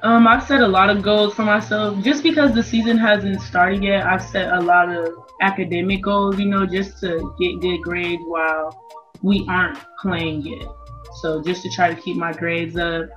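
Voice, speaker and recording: Low-pitched young American woman, dull audio. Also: fairly even intonation, moderate pace, fairly bright tone. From Las Vegas, United States. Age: young adult, about 18. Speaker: college basketball player being interviewed. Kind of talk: casual interview answers. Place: indoor room, on video call. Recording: dull video-call audio, music underneath. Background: music.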